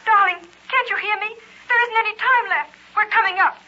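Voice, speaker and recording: An actress playing a frantic sweetheart who pleads urgently in an old radio horror drama. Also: female voice, very high-pitched, a little fast, sharp tone. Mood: frantic pleading. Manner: urgent, imploring. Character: frantic, pleading sweetheart warning her man. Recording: muffled vintage radio recording, telephone-like.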